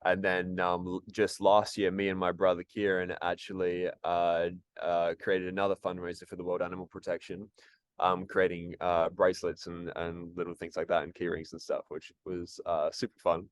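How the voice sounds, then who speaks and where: A man's voice: sits low, monotone, moderate pace, clean tone. A man answering interview questions about acting and music, casual and hesitant, with many ums and restarts. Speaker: actor and musician, interview guest.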